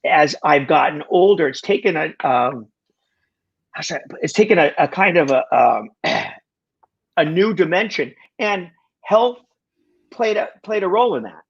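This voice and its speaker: Halting, husky-voiced man in his sixties. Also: fairly high pitch, expressive, muffled recording. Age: 60s. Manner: halting, full of restarts, wry self-deprecating humor. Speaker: podcast guest. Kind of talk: casual conversation.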